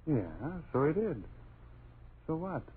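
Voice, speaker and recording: Casting: wisecracking young dad in vintage radio horror, animated swings in pitch, slangy, on a tinny recording. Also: male voice, slow. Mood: breezy, casual. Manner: slangy, joking, casual. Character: breezy, wisecracking young husband and father. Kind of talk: 1940s radio horror acting. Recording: tinny, faintly noisy old radio recording.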